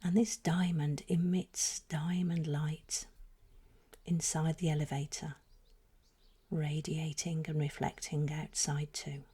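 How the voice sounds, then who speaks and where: Breathy, low-voiced woman guiding meditation. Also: little pitch movement. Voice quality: soft, breathy, often trailing into near-whisper. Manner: slow, soothing, deliberate phrasing with pauses. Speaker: spiritual meditation guide. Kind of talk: guided meditation.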